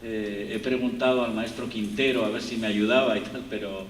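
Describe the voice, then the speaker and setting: A man's voice: fairly low pitch, steady tone, moderate pace. A man from Spain with a Spanish accent, giving a rambling university lecture with many fillers and restarts. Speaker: guest lecturer. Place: lecture hall. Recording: echoey hall, distant, faint room noise.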